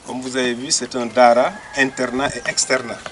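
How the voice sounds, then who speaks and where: A man from a school welcoming visiting students with a soft, warm speech. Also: mid-range voice, level tone, a little fast, a little hiss or hum. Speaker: school director welcoming guests.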